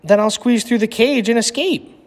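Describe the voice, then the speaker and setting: A man's voice: extremely high pitch, moderate pace, voice pushed up. A man voicing a plucky, confident young boy with a playful manner in a children's storybook read-aloud. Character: plucky, unafraid young boy talking back cleverly. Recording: echoey room with long reverb.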